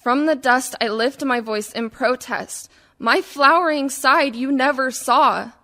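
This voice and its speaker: Radio play: actress as a proud village woman lodging a protest, higher-pitched, solemn, unhurried. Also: sharp tone, exaggerated voice. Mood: defiant. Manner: solemn, declamatory. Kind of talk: dramatic verse monologue for radio.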